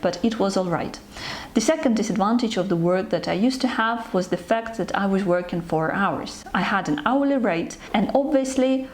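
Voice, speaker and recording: A woman's voice: medium pitch, darkish tone. Earnest young woman from Ukraine. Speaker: channel host. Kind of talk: personal talk to camera.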